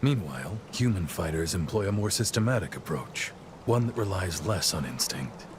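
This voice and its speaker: Easygoing warrior mentor, middle-aged, lively swinging intonation, encouraging, game cutscene, faint ambient noise behind. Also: male voice, deep voice, normal speed. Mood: encouraging, hopeful. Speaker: video game character, combat mentor. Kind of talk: video game cutscene acting.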